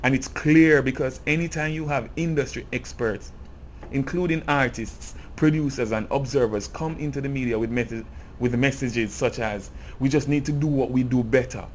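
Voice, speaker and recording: A man's voice: medium pitch, moderate pace, a little hiss or hum. A Jamaican man giving an earnest, impassioned cultural commentary in a video essay. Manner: earnest, grows impassioned and emphatic.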